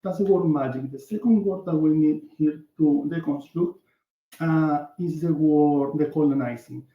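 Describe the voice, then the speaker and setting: Man with a Venezuelan Spanish accent teaching. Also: a little high. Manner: earnest, halting, frequent fillers. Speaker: workshop presenter. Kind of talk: online workshop lecture. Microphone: video call. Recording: echoey room, dull lo-fi call audio.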